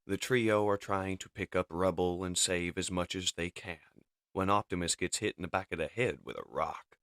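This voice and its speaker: A man's voice: low voice, normal speed, fairly bright tone, dry recording, clean studio sound. A man narrating a comic dub with dramatic, emphatic scripted storytelling.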